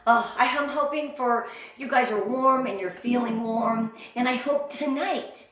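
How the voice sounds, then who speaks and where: A middle-aged American woman speaking with gushing, high energy, greeting viewers on a live online motivational training. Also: unhurried, a bit bright. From Southern California, USA. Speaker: sales director leading a motivational training. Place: indoor room, live stream with ring light. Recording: very echoey, roomy sound.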